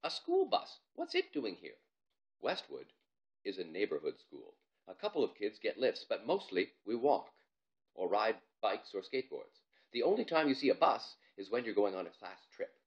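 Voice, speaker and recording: A man's voice: on the low side, sing-song, moderate pace, muffled recording. A man, an author, reading his children's story aloud in a theatrical, comic storytelling manner. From Canada.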